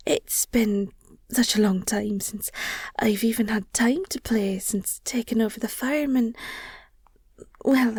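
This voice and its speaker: Woman playing a breathy, high-voiced, affectionate girlfriend. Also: no echo, clean studio sound. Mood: wistful, affectionate. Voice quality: soft, breathy, often near a whisper. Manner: gushing, tender, hesitant with pauses and sighs. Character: affectionate, nerdy werewolf girlfriend, a farmer, eagerly supportive. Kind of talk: intimate audio roleplay addressed to the listener.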